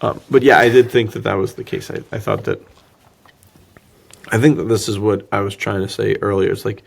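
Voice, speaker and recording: Male podcast co-host, low pitch, heavy vocal fry, casual banter, joking delivery. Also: steady tone, fairly quick. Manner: joking, laughs easily. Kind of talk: casual film discussion banter.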